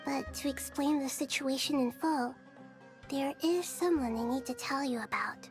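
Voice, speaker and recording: A very high, childlike voice performed by a woman for an earnest little girl deity in a video game. She sounds composed and takes charge like a leader. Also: moderate pace, faint music behind, lightly acted. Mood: earnest, grave.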